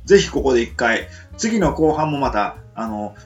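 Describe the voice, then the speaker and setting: A man's voice: mid-range voice, moderate pace. A man hosting a casual talk radio show, hesitant and full of fillers, nodding along as his co-host talks. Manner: hesitant, lots of fillers and steady back-channel nods. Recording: lo-fi with guitar music behind.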